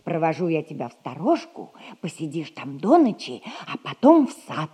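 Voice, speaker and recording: A woman's voice: deep voice, sing-song, moderate pace, dark tone, breathy edge, full character voice. An actress playing a folksy, knowing servant woman, a bossy and wheedling go-between in a theatrical 1960s audio comedy. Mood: conspiratorial, coaxing.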